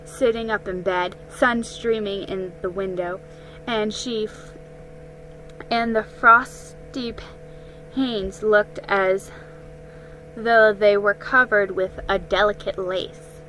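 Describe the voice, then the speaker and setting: A woman giving a dramatic reading of a children's story, careful and a little halting. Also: medium pitch, fairly even intonation, bright, forceful tone, soft background music, low-quality recording. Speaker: storybook reader.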